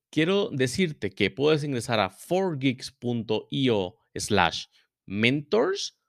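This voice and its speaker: A male podcast host who stumbles and repeats words as he reads out promotions and introduces his guest. His voice sits at an ordinary middle pitch and sounds dry and close. He is speaking in an office. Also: no room sound. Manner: halting, repeats words while reading sponsor spots. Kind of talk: casual interview podcast.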